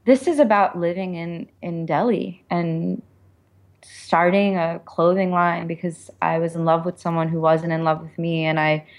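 A woman's voice: lowish voice, fairly even intonation, unhurried. A woman author being interviewed, speaking candidly and informally in a reflective way, with lots of "like" and "you know".

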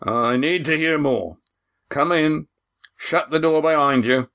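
A man voicing a steady, wary, commanding tavern man with folksy nautical speech in an audiobook. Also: fairly high pitch, takes it slowly, smooth, pitched above the performer's own voice. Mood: demanding. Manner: terse, folksy nautical dialect.

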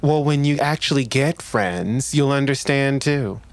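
A man's voice: medium pitch, unhurried, natural voice. A man playing a cocky, charming but clumsy young space traveler in an audio drama. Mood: cocky, playful.

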